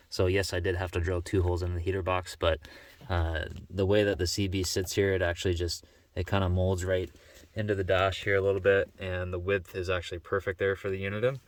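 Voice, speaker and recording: A man hosting a casual how-to vlog, talking informally and thinking aloud as he explains. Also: sits low. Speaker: vlog host showing a DIY truck install.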